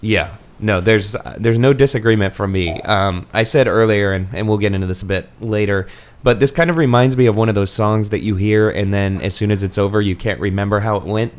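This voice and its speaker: A man's voice: lowish voice, brisk. A man hosting a podcast, chatting casually about books. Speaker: podcast host. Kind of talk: casual book discussion chat.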